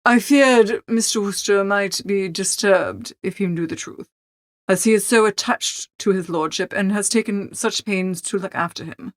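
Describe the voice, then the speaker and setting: Woman acting an unflappable, soft-spoken English valet. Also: mid-range voice, dry recording, close to an everyday voice. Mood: smoothly deferential. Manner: measured, formal, discreet, soft-spoken. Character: unflappable, deferential English valet, a male servant. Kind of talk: audiobook character acting.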